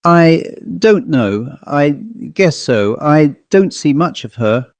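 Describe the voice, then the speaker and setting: A man reading a storybook puts on a middle-aged country wife, inquisitive and none too bright. The voice is raised high, with a dry, close sound. Her folksy words come hesitantly and timidly. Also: lively intonation, slow pace, no room sound. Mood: nervous, evasive. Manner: hesitant, faltering, folksy rural speech. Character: nosy, timid, mildly stupid rural married woman.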